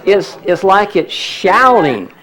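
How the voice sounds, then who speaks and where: A fairly high-voiced man runs a live seminar demonstration, speaking to a room full of people. He explains each step as he goes and keeps checking whether everyone can see. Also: very animated, takes it slowly, a bit bright. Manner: chatty, explains step by step, asks the audience. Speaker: healing seminar presenter. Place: church hall.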